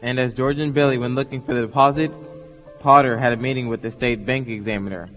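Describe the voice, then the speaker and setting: A man's voice: mid-range voice, steady tone, quick pace, a bit bright, natural voice. A man giving scripted narration as the narrator of a radio play. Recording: reverberant theatre hall, music in recording.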